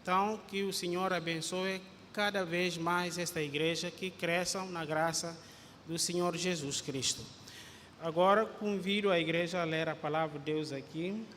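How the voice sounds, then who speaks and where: A man in his forties from Guinea-Bissau with a Guinea-Bissau Portuguese accent, a visiting pastor greeting a congregation and reading scripture, unhurried and often adding a questioning tag. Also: on the high side, steady tone, fairly bright tone. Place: church hall. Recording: reverberant church hall with faint ambient noise.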